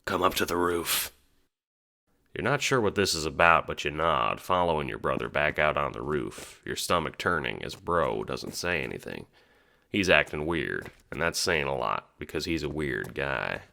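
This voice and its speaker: A man's voice: rumbling low, big pitch swings, moderate pace, creaky, sharp tone. A man narrating a second-person audio drama in a sardonic, sweary manner.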